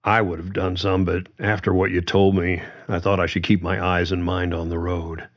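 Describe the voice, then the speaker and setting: A man's voice: sits low, level tone, normal speed, highs cut off. A man voicing a middle-aged father who talks in a plain, protective way.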